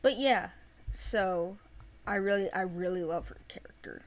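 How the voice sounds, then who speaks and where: A woman's voice: medium pitch, a little slow. A woman chatting casually and enthusiastically about characters, rambling in an informal way. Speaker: animation project team member. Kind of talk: casual chat discussing characters.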